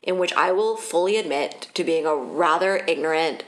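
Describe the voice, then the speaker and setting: A woman's voice: deep voice, moderate pace, poor audio. A woman from Ontario co-hosting a casual political podcast, chatty and self-deprecating with many fillers. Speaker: podcast co-host.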